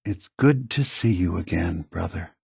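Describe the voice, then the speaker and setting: A weary android elder statesman from a video game. The voice is low and husky, recorded very dry. He speaks slowly and thoughtfully, with a gentle, deliberate calm. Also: no echo, heavily acted. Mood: gentle, warm. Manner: measured, deliberate, gentle, reflective. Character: calm, weary, philosophical male android leader.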